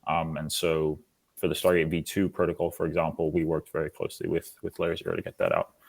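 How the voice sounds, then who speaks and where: A man's voice: rumbling low, fast talker, creaky. A man in his twenties from Singapore, explaining his work as an interview guest. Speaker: interview guest, foundation lead. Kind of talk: interview answers, explanatory talk.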